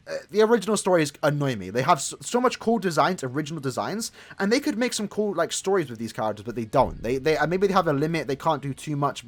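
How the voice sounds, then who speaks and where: Fast-talking man in his twenties. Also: fairly high pitch. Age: 20s. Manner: chatty and rambling, addresses viewers as lads. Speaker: gaming YouTuber. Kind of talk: casual gameplay commentary.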